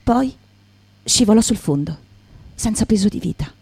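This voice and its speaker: A woman's voice: medium pitch, expressive, moderate pace, gravelly, darkish tone, husky, no echo. A woman performer giving a dramatic literary reading in the style of radio theatre. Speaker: stage performer.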